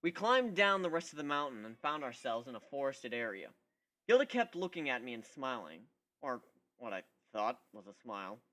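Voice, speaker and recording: A man's voice: mid-range voice, sing-song, moderate pace, bright, forceful tone. A man giving an amateur first-person dramatic reading of a story in a wry, conversational manner. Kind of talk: first-person dramatic narration of fan fiction.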